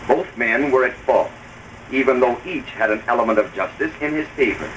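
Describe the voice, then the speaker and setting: A man's voice: mid-range voice, expressive, normal speed, a bit bright, a little hiss or hum. A man giving formal, didactic narration in the style of an old radio advice commentator. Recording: dull vintage soundtrack with music.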